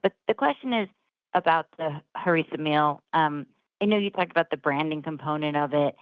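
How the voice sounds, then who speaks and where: Lady analyst phoning in, lowish voice, informal questions, tinny dry line. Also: fairly quick, no echo. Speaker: financial analyst caller. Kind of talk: conversational questioning. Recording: thin dry phone line.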